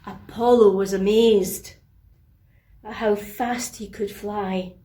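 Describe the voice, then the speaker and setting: A woman narrating a children's bedtime story in an expressive, deliberate way, with asides to young listeners. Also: mid-range voice. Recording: echoey, reverberant room.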